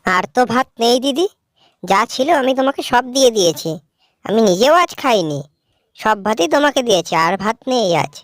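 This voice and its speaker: A woman's voice: on the high side, expressive, brisk, no room sound, clean studio sound, lightly acted. A woman acting a young village sister in a cartoon folk tale. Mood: worried, meek. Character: two village sisters, one sweet, one spiteful.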